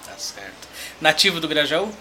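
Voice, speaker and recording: A man hosts a casual podcast, friendly and quick with short affirmations. His voice sits a little high and sounds very bright. A faint background noise runs under him. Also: sing-song, low-quality recording. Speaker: podcast host.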